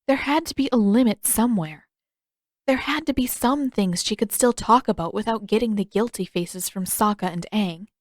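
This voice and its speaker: A woman's voice: medium pitch, moderate pace, no room sound. Woman narrating a story, close dry sound. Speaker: fan fiction narrator. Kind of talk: scripted audiobook narration.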